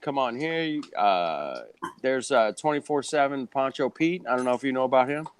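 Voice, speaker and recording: A man's voice: medium pitch, animated, normal speed, smooth. A man hosting a casual video-call chat, laid-back and peppering his talk with "man".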